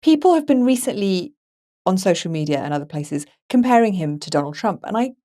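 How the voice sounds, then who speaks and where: A woman's voice: medium pitch, big pitch swings, a little fast, dark tone. A woman co-hosting a podcast, talking through a film in a casual, thoughtful and conversational way. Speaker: podcast co-host.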